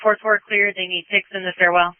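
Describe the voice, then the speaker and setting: A dispatcher with a high, clear voice speaks over police radio. The delivery is clipped and procedural, relaying short messages with very little rise or fall. The narrowband transmission has no room sound. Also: flat delivery, brisk, no room sound.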